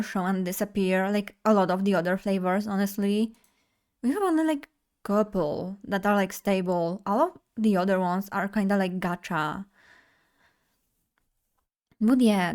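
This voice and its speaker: A woman's voice: medium pitch, a little mellow. Bubbly young woman streamer. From Poland. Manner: bubbly, chatty, addresses chat directly. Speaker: vtuber streamer. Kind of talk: casual live stream chat.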